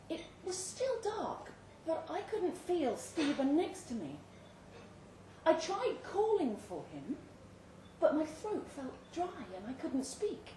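A woman giving an expressive, theatrical reading of a suspenseful short story at a live indoor event. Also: high voice. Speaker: story reader. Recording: roomy live venue, distant mic, faint noise.

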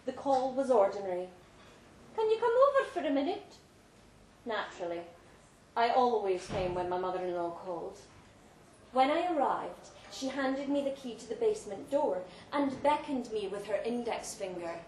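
A woman reading a literary story aloud to a live event, theatrical and deliberate, with dramatic pauses. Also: a little high, big pitch swings, a little mellow. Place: indoor live reading in a pub venue. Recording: roomy live venue, faint background noise.

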